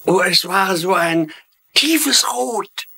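An actor playing an emotional, self-pitying wounded father in a dubbed TV mystery, with a melodramatic, halting delivery. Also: high-pitched, animated, vocal fry, bright, forceful tone, slightly rough, full character voice. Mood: halting, dramatic. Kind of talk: dubbed TV mystery drama acting.

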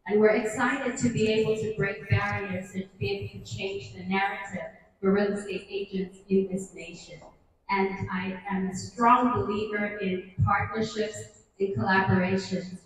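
A woman's voice: mid-range voice, steady tone, normal speed, a little hiss or hum. A woman who runs a real estate firm, standing with a handheld mic and giving an earnest, formal speech in a room lined with bookshelves. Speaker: real estate company CEO. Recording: roomy hall, picked up from far off.

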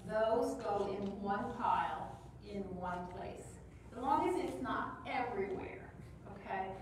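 A woman's voice: mid-range voice, creaky. A woman in her sixties speaking to a live audience in a conference room about decluttering, chatty, blunt and practical. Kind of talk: casual talk to a live audience. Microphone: far room mic. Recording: echoey room from far off, with noise.

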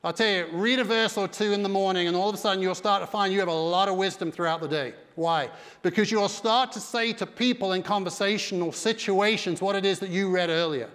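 A middle-aged pastor preaches with emphatic energy, his voice pitched high for a man. He speaks into a headset mic, and the big church hall rings with a long reverb behind him. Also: fairly quick, fairly bright tone, smooth. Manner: emphatic preaching with broad hand gestures. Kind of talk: sermon. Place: large dark church stage.

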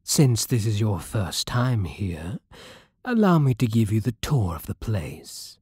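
A British man playing a courtly, possessive vampire king in an intimate ASMR roleplay, silky, formal and commanding with quiet menace. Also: lowish voice, sing-song, takes it slowly, no room sound, clean studio sound, exaggerated voice. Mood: courtly, smooth. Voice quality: breathy, husky.

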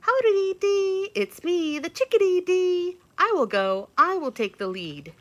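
Woman playing a tiny chickadee, high and chirpy, sing-songy and brave. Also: very animated, measured pace, fairly bright tone, heavily acted. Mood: eager. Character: tiny bold chickadee bird.

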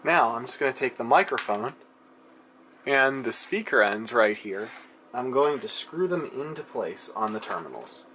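A man's voice: medium pitch, animated, moderate pace, pushed and bright. Casual man thinking aloud, some background noise. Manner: informal, musing to himself while working. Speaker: electronics hobbyist narrating his build. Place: cluttered indoor electronics workbench.

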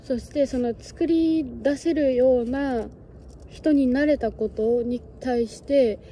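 A woman's voice: high voice, slow, dark tone, a little hiss or hum. A young woman from Japan in her twenties chatting casually to her phone camera on an outdoor hilltop, musing aloud as she goes. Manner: chatty, musing, talks to birds and cats in a sing-song voice. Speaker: travel vlogger. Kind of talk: casual vlog chat to camera. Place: outdoors on a windy hilltop fortress. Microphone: phone camera close by.

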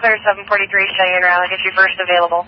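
A man's voice: high voice, flat delivery, very fast. A man giving terse call-outs as a dispatcher on a police radio. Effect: police radio transmission. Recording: dull, lightly noisy police radio feed.

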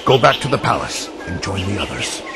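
An actor playing a stern, solemn old red panda master in a video game, terse and commanding. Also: male voice, low voice, sing-song, brisk, creaky, loud music behind the voice, exaggerated voice. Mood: solemn, restrained. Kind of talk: video game cutscene acting.

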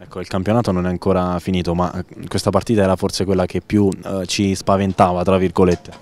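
A man interviewing players after a match, asking leading questions with pauses and fillers. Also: sits low, little pitch movement, darkish tone. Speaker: sideline interviewer.

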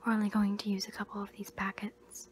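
A young woman playing a gentle caregiver tending a wounded soldier, whispering breathily and soothingly in an ASMR roleplay. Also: medium pitch, completely flat delivery, lightly acted. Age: young adult. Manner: slow, soothing, caring. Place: small indoor room against a draped sheet. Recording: noisy amateur close-up recording.

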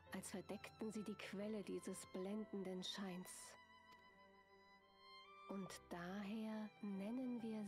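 A woman voicing a serene, solemn female leader in a fantasy game, with measured, formal delivery. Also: medium pitch, darkish tone, natural voice. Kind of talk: scripted dramatic game narration and dialogue.

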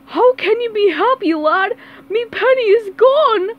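A woman's voice: very high voice, expressive, unhurried, muffled recording. A woman voicing a melodramatic old miserly male crab in an amateur comic dub, wailing and overwrought. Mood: upset.

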